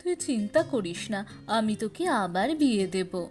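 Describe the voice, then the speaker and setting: Caring cartoon mother, voiced by the female narrator, reassuring, persistent, lively intonation. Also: medium pitch, a little slow, faint music behind, voice pushed down. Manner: reassuring, coaxing. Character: caring, insistent mother of a grown son.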